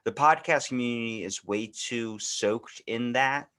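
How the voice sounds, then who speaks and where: A man's voice: medium pitch, measured pace, fairly bright tone. A man hosting a podcast, talking in a casual, rambling, opinionated conversational way. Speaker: podcast host. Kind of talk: casual interview chat.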